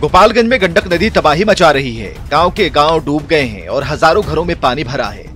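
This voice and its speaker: A man with a slightly high voice reads a scripted news report. He keeps a steady, formal delivery, and faint music plays under the voice-over. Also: brisk. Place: studio voice-over.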